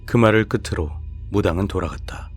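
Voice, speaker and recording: A man's voice: lowish voice, brisk, breathy edge, faint music behind. A man reading scripted horror narration as the storyteller of a radio-style show.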